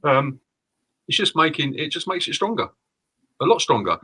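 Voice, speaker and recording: A man's voice: a little high, very animated, normal speed, bright, forceful tone, breathy edge. A man co-hosting a live stream, agreeing and ranting, often repeating and stumbling over his words. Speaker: co-host, business owner.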